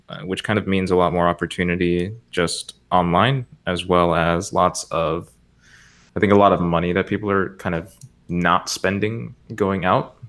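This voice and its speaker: Very low-voiced young man, American accent. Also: fairly bright tone. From United States. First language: Spanish. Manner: rambling, lots of "like" and "um" fillers. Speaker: podcast guest. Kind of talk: casual chat.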